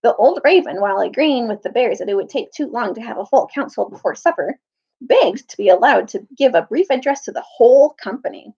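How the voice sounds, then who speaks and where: A woman's voice: fairly high pitch, lively intonation, a little fast, mellow, husky. A woman reading a children's novel aloud as a storyteller, expressively, now and then stumbling and rereading a line. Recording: dull lo-fi audio.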